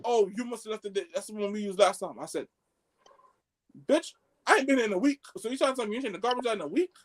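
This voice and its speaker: A man telling a story among friends on a podcast, excitable and slangy, acting out the dialogue as he goes. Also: sits high, fast. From Haitian background. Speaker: podcast co-host.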